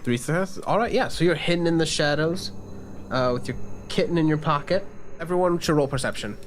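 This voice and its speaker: A man's voice: on the high side, a little fast, slight background noise. A man working as game master, narrating scenes and calling for rolls in a tabletop role-playing game.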